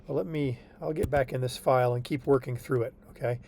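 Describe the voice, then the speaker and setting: A man's voice: mid-range voice, steady tone, a little fast, vocal fry, darkish tone, a little hiss or hum. A man tutoring a student over a video call, explaining homework patiently and reassuringly, often checking in with "okay?". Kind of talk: online tutoring session walking through homework.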